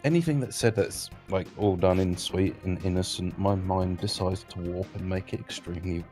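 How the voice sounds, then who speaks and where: A man's voice: sits low, normal speed, warm tone, faint music behind. A man with a South African accent, joking and laughing easily in casual stream chat.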